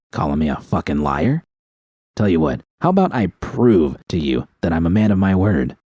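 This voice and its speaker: A man voicing a menacing male audiobook villain with a deep voice, taunting with false sweetness. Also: warm tone, breathy edge, no room sound. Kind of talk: audiobook character voice.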